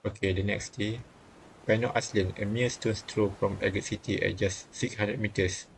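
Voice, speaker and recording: A man's voice: fairly low pitch, fairly even intonation, moderate pace, creaky, a little hiss or hum, rough recording. A man streaming a visual novel, reading the game text aloud and often stumbling and rereading phrases.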